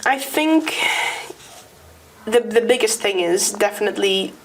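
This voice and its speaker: A woman's voice: medium pitch, unhurried, slight background noise, rough recording. Young English woman telling a story. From England. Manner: lively storytelling with tag questions. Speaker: guest employee. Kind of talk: casual interview chat.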